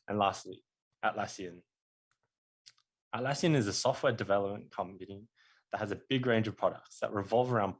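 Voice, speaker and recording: A man's voice: fairly low pitch, husky. A man giving a prepared student presentation, reading from a script with small slips.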